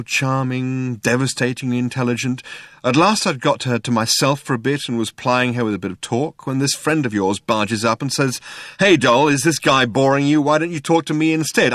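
Indignant everyman in comic audiobook, voiced by a man: coldly insistent, sulky, higher lively pitch. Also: dry recording, clean studio sound, natural voice. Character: aggrieved, stubborn, indignant human man.

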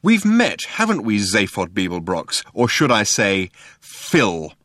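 A man's voice: on the low side, wildly swooping pitch, slow pace, fairly bright tone, no echo, clean studio sound, exaggerated voice. A man voicing an indignant, stubborn human in a comic audiobook, speaking sharply and insistently. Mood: cold, sharp.

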